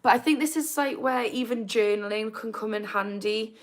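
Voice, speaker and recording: A woman's voice: fairly high pitch, moderate pace. A young woman from Newcastle with a Geordie accent, chatting casually on a livestream and reading viewer comments aloud. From Newcastle, England. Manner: chatty, informal, reads viewer comments aloud, laughs easily. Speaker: livestream host on addiction recovery. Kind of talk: casual live-stream chat reading comments.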